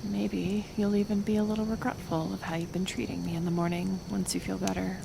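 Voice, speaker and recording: A woman playing a kind, stubborn, lonely half-elf healer in an intimate ASMR roleplay, speaking softly and hesitantly with soothing pauses. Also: mid-range voice, level tone, vocal fry, background noise. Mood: shy, teasing.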